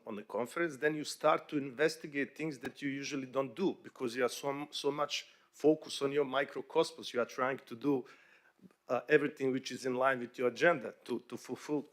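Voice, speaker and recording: A man from Bosnia speaks English as an at a conference panel. He talks in a halting, conversational way, with frequent fillers and restarts. His voice sits at a middle pitch. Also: a bit bright. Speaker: bank executive panelist.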